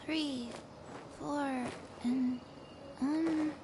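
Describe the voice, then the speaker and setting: A woman giving a measured, instructional breathing count. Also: sits high, fairly even intonation. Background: noise.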